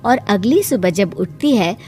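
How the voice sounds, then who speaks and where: A woman's voice: medium pitch, expressive, measured pace, darkish tone. A woman reading scripted storybook narration for a cartoon moral tale. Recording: music behind the voice.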